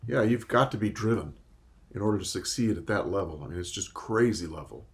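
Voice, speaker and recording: Emphatic low-voiced man with very animated pitch. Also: brisk. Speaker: panelist in a film discussion. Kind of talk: casual opinionated chat.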